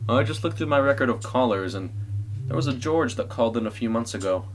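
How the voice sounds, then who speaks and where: A man playing a weary, enigmatic late-night radio host who talks in a measured, courteous way in an audio story. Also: medium pitch, expressive, pitched above the performer's own voice, close to an everyday voice. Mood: distracted, apologetic.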